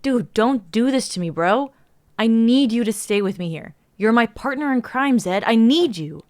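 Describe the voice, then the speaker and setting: A woman's voice: on the high side, lively intonation, normal speed, darkish tone, natural voice. A woman playing a determined, impatient young amateur sleuth in a dramatic script reading, emphatic and slangy. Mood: pleading, firm. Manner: emphatic, colloquial.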